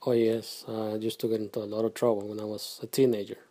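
A middle-aged man from Guatemala, answering questions in a casual family interview, halting and soft-spoken with pauses and restarts. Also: lowish voice, flat delivery. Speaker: interviewee, father.

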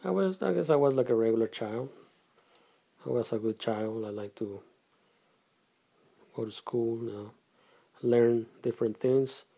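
Very dark-voiced man, halting. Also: mid-range voice, gravelly, husky. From Guatemala. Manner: halting, hesitant, soft-spoken, with pauses and restarts. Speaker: interviewee, father. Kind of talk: casual family interview.

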